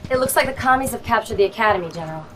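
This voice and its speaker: An actress playing a brash, defiant young American commando, cocky and punchy, in a game cutscene. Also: female voice, fairly high pitch, animated, very fast, a bit bright. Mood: sarcastic, urgent. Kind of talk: video game cutscene acting. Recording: distant noisy field transmission.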